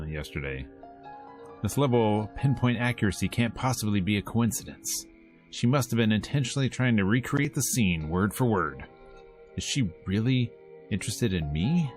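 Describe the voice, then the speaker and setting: Low-voiced man playing a visual novel. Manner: playful, casual asides between read lines. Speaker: host of a gameplay video series. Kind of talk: let's play, reading visual novel text aloud with casual asides.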